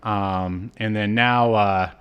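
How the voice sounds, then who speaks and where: A man's voice: on the low side, steady tone, takes it slowly, smooth, highs cut off. A man co-hosting a podcast, giving a casual, comic recap with incredulous, mocking asides. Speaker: podcast co-host.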